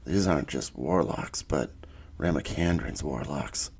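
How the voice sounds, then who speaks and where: A man voicing a wary adventurer in hiding, muttering secretively in a hushed, husky voice for a fantasy audio story. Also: extremely low pitch, unhurried, voice pushed down, heavily acted. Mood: hushed, worried. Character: wary male adventurer hiding from warlocks, whispering.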